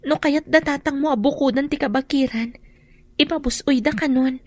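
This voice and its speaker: A woman's voice: high-pitched, moderate pace, dry recording, lightly acted. A woman acting a shy, hesitant young student, a dutiful daughter, in a radio drama. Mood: worried.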